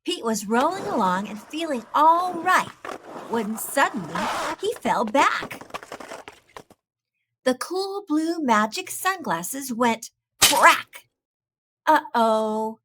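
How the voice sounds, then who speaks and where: A woman's voice: a little high, very animated, slow pace, background noise. A woman reading a children's picture book aloud, expressively and with careful enunciation. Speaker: storybook reader.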